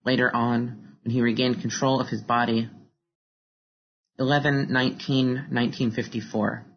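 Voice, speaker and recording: Poet reading with little pitch variation. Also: flat delivery, moderate pace. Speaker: poet reading own work. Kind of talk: literary poetry reading. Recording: dull recording with faint noise.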